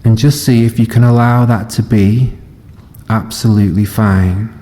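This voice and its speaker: Male meditation teacher, mellow and very slow, gentle phrasing with long pauses, recorded in a reverberant hall. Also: on the low side, steady tone. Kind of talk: guided body scan meditation. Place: live session in a reverberant room.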